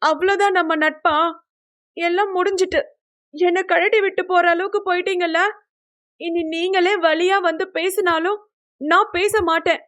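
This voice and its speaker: A woman's voice: fairly even intonation, normal speed, pauses often, a bit bright. A woman voicing an emotional, feisty college girl in a dramatic, pouting manner for an audio novel. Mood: hurt, resolved. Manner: dramatic, pouting, high-strung.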